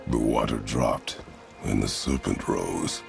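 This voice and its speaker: An actor playing a stern, battle-hardened warrior father in a video game, gravelly, terse, formal and stoic. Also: very deep voice, slow, over music, full character voice. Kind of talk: dramatic video game acting.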